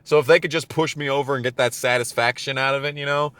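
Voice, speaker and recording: A man's voice: medium pitch, steady tone, quick pace, fairly bright tone, clear, resonant tone, no echo. A man in his twenties telling a personal story casually and comedically, with profane, exasperated ranting and emphatic repetition. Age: 20s. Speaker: storyteller on a gaming channel.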